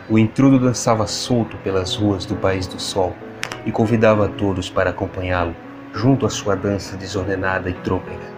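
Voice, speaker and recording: A man with a Brazilian accent reading scripted, dramatic fiction narration for an audiobook. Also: deep voice. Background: music.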